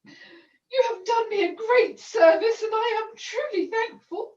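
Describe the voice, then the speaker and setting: A woman's voice: very high voice, a little slow, a little mellow. A woman acting a melodramatic young runaway maid in a pantomime, speaking in florid, plaintive old-fashioned phrases. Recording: echoey distant muffled video-call audio.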